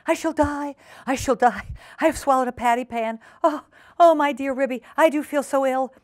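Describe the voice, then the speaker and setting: Woman acting a high, stammering, flustered lady dog. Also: moderate pace, no room sound, clean studio sound, natural voice. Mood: wailing, panicked. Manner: stammering, hesitant, overpolite. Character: anxious, flustered female dog guest, prone to wailing.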